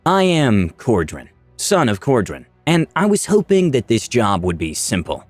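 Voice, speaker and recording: A man's voice: medium pitch, wildly swooping pitch, a little slow, dry recording. A man narrating a scripted story in a dramatic, theatrical storytelling manner. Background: music.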